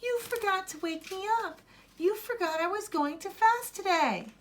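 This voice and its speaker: A woman voicing an excitable, anxious little boy who exclaims plaintively in a children's storybook. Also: very high voice, normal speed, voice pushed up. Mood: upset, calling. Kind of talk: children's storybook read-aloud.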